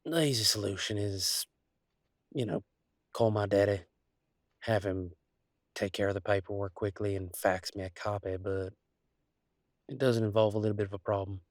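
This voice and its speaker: A man playing an easygoing, affectionate country werewolf boyfriend, talking in a folksy, halting way in an intimate roleplay audio. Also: lowish voice, steady tone, dry recording, clean studio sound, lightly acted. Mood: hesitant, uneasy. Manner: folksy, drawling slang, halting asides.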